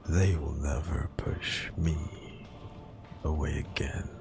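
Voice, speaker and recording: An actor playing a gaunt, bitter, murderous plague doctor in a dark fantasy audio drama, with a gravelly, menacing, drawn-out delivery. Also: male voice, a bass voice, steady tone, over music, heavily acted. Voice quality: gravelly, creaky.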